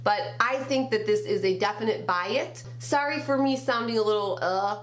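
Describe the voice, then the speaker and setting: A woman's voice: medium pitch, normal speed. A grandmother with a nasal, stuffed-up voice from a summer cold, chatting through a makeup review in a small bedroom and naming each shade one by one. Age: older. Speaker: beauty vlogger. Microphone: camera at desk distance.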